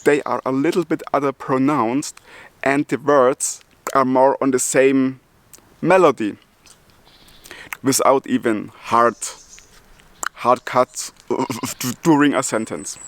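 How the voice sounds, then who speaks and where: Slow-talking German man who stutters. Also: medium pitch, fairly even intonation. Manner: stutters with repeated sounds and restarts; chuckles between phrases. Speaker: YouTuber. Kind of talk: casual vlog talk.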